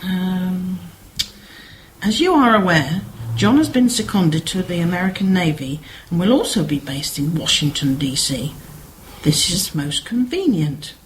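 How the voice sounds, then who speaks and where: A woman's voice: fairly low pitch, animated, measured pace. A woman playing a chatty, inquisitive village woman in an amateur radio play. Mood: reading gravely. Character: chatty, inquisitive village sister seeking company in London. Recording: slightly noisy amateur radio recording.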